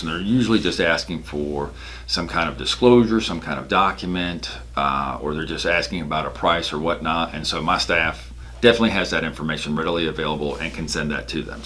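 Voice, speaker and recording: A man's voice: sits low, bright, forceful tone. A man working as a real estate broker, explaining his work in a casual, emphatic, conversational way. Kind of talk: casual explanatory talk about own work.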